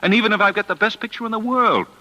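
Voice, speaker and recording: An actor playing a worried American film producer husband, pleading and colloquial, in a 1940s radio drama. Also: male voice, high voice, racing, bright, forceful tone, lo-fi sound.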